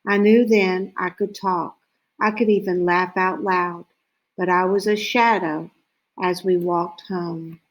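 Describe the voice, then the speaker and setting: A woman reading a children's story aloud in a deliberate way, with frequent pauses between short phrases. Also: on the low side, steady tone. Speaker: storybook reader.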